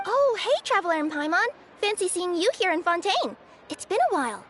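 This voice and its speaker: A woman's voice: very high voice, sing-song, a little fast, a bit bright, slight background noise, exaggerated voice. A woman voicing a young cat yokai courier, bubbly, sheepish and apologetic, in a video game. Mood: cheerful. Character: young female cat yokai courier with two tails, cheerful and flustered. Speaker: delivery courier character. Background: game music.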